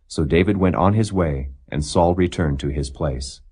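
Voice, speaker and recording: A man with a low-pitched voice reads scripture aloud as a narrator. His delivery is formal and measured, with a solemn tone suited to an old holy text. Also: a little mellow, breathy edge. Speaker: Bible narrator.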